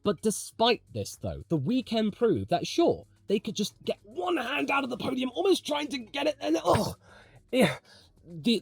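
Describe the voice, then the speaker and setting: A man's voice: medium pitch, a little mellow, no echo. A man hosting a motorsport video, giving opinionated commentary in a conversational, emphatic way. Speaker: host of a motorsport commentary channel.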